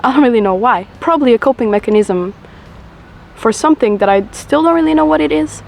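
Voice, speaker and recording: Low-voiced young woman, reflective chat. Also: lively intonation, a little fast, vocal fry, slight background noise. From Portugal. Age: 20s. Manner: reflective, rambling, confessional; smoking while talking. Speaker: vlogger. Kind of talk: casual chat to camera.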